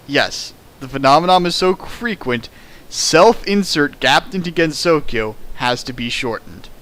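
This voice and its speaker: A man's voice: fairly high pitch, lively intonation, a little slow, a bit bright, low-quality recording. A man reading a fan fiction aloud for comedy, breaking off for sarcastic, mocking commentary on the writing. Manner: sarcastic, mocking asides, laughs at the text. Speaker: reader riffing a fan fiction.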